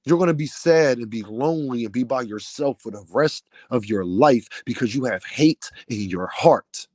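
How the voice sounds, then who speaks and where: Loud, heated man ranting with a deep voice. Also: lively intonation, normal speed, dry recording, studio quality. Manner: loud, heated, preaching cadence. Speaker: vlogger, channel owner. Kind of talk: passionate rant to camera.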